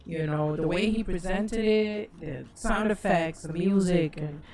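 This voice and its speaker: A woman's voice: fairly low pitch, unhurried, over a noisy crowd. A woman co-hosting a casual livestream talk, rambling and gushing with many restarts. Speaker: co-host.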